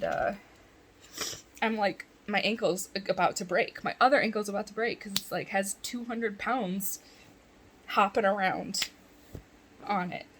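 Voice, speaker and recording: A woman's voice: mid-range voice, fairly bright tone, a little hiss or hum. A woman vlogging in a casual chat from bed at home, congested and rambling, often laughing.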